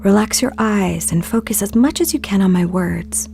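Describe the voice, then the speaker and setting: An actress playing a serene, earnest film star who leads a guided meditation in a soft, soothing, deliberate voice for an audio play. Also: female voice, medium pitch, creaky, faint music behind, natural voice.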